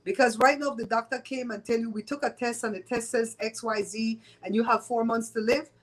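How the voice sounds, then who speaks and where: A Belizean woman in her fifties with a fairly high voice hosts a live stream and chats straight to her viewers. She is blunt and gossipy and laughs often, with her Creole accent running all through her talk. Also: fairly even intonation, fairly quick, low-quality recording. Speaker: host of a live show. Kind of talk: casual chat with live viewers.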